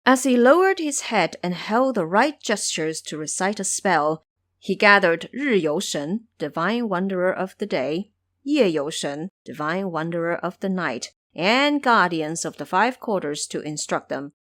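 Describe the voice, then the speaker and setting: A woman narrating an audio drama as an expressive, scripted storyteller. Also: lowish voice, unhurried, no room sound, studio quality.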